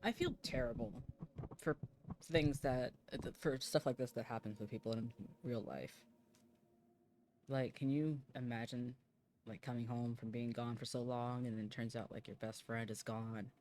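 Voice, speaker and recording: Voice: mid-range voice, normal speed, darkish tone, a little hiss or hum. A game streamer giving casual reaction commentary in an informal, sweary way.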